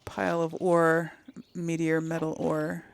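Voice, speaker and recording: A woman's voice: deep voice, completely flat delivery, unhurried, vocal fry, a little hiss or hum. A woman giving casual let's play commentary, thinking aloud as she goes. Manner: thinking aloud, reading quest text aloud.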